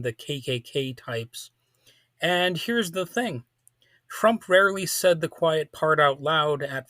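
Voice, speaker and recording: Male political commentator, bright forceful voice, slightly high, close dry sound, deliberate emphatic phrasing. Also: dry recording. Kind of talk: political commentary monologue, part scripted.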